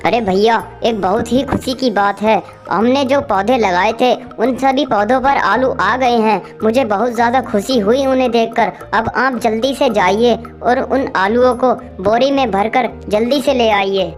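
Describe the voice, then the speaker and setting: A woman's voice: fairly high pitch, measured pace, few pauses, natural voice. The busy little sister bird in a children's farm cartoon acted by a woman, forever cheerfully hurrying everyone along over a light music bed. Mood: excited, urging. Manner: eager, urging, exclamatory. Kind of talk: animated children's story dialogue.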